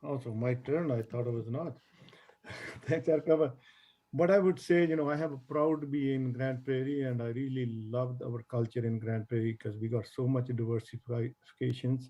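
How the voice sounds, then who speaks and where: A man giving a halting, informal candidate speech at a forum. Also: mid-range voice, fairly even intonation, dark tone. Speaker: council candidate. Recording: muffled audio.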